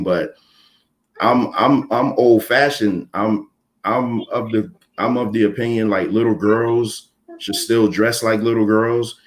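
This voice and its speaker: A man in his thirties giving blunt opinions in a casual panel chat, often repeating words as he starts a point. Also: fairly low pitch. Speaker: podcast panelist.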